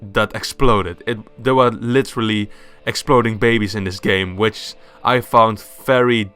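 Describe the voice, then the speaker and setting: A young man with a Dutch accent, casually giving his opinions on video games, enthusiastic and quick to laugh at himself. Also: lowish voice, steady tone, bright, forceful tone, clean tone. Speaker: gaming YouTuber. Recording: faint background music.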